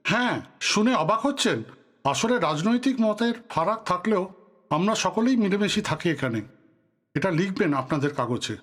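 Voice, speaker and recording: An actor playing a guarded, courteous local politician with an authoritative manner in an audio drama. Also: male voice, high voice, lively intonation, moderate pace, fairly bright tone. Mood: pointed, solemn. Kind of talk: Bengali audio drama acting.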